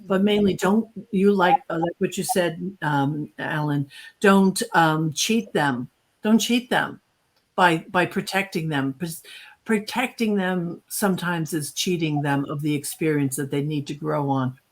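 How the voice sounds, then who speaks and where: A woman's voice: low voice, measured pace. A woman co-hosting a talk show, speaking emphatically and directly as she gives advice. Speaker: co-host.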